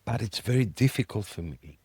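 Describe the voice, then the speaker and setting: Animated man with a Greek accent. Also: fairly quick, slightly rough, dry recording. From Greece. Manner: animated, rises high when worked up. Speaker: podcast co-host. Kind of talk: casual chat.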